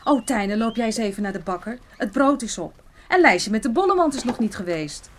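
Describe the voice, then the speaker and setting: A woman's voice: on the high side, lively intonation, brisk, a little hiss or hum, close to an everyday voice. A woman playing a practical village mother giving chores in a Dutch radio play. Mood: brisk, practical.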